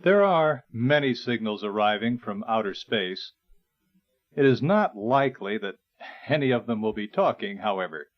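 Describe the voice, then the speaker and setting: A man reading a scripted instructional narration in a measured, explanatory way. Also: on the low side, animated. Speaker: narrator and presenter. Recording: dull, lo-fi 1980s recording.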